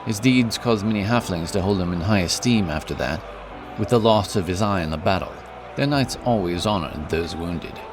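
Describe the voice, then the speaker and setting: A man narrating a scripted fantasy story. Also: sits low. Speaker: audiobook narrator. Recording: music behind the voice. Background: music.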